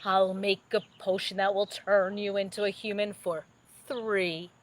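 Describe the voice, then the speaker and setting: Woman playing a scheming, slow-talking sea witch. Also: medium pitch, level tone, slight background noise, close to an everyday voice. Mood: sly, gloating. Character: scheming, gleeful female sea witch villain. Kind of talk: children's storybook character voice.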